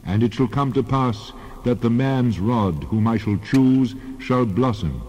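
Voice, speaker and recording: An actor playing God as a solemn, commanding lawgiver in a dramatized scripture reading. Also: male voice, medium pitch, measured pace, darkish tone, lightly acted. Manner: solemn, declarative. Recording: long reverb, dull band-limited sound.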